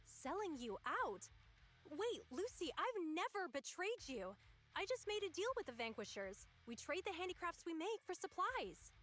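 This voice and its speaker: A woman's voice: very high-pitched, very animated, moderate pace, a bit bright, faint music behind, heavily acted. An actress playing an earnest, apologetic young townswoman in a video game, speaking sincerely and pleadingly. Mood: hurt, pleading.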